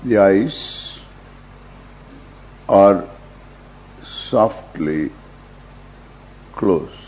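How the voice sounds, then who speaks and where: Older male meditation teacher, Indian accent, deep and soft-toned, halting measured delivery, dull lo-fi recording. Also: expressive. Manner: measured, halting short phrases with long pauses. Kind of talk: guided meditation, slow instruction. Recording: dull lo-fi recording with faint hum.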